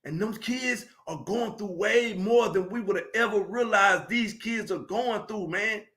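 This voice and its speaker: A man, speaking in a very high, piercingly bright voice. He gives an emphatic, preachy motivational talk on a live stream. He often raises his voice to drive his points home. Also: unhurried. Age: 40s.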